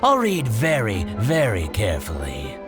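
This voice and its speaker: A man playing a gleefully petty, ingratiating merchant in a video game, with exaggerated, drawn-out, scheming delivery. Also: on the low side, huge pitch swings. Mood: smug, scheming. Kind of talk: video game character acting. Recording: music playing behind the voice. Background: game music.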